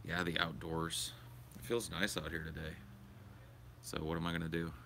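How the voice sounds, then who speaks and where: A man's voice: sits low, flat delivery, moderate pace, pushed and bright, a little hiss or hum. A young man hosting a casual livestream, rambling crudely and answering his viewers' questions aloud on a portable camera. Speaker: livestream host. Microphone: portable camera or phone carried around.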